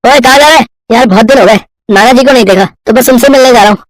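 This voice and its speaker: Man acting an eager, high-pitched young village man. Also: quick pace, no room sound. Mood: cheerful. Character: kind, earnest young poor village man. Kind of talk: Hindi animated moral story acting.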